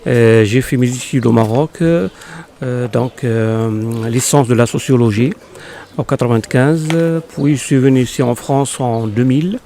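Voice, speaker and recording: Husky-edged Moroccan-born man, halting speech. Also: mid-range voice, mellow. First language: Arabic. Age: 50s. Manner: halting, many fillers and restarts. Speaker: interviewed poet. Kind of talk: hesitant conversational interview. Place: local podcast studio.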